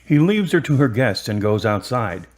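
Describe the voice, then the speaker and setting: A man's voice: mid-range voice, big pitch swings, moderate pace, darkish tone. A man reading scripted narration for a radio drama as its narrator.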